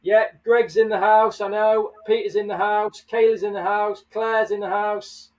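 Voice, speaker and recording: A man's voice: high voice, little pitch movement, moderate pace, darkish tone, far from the mic, highs cut off. A man hosting a casual livestream, chatting and greeting viewers by name. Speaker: livestream host. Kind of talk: casual live chat.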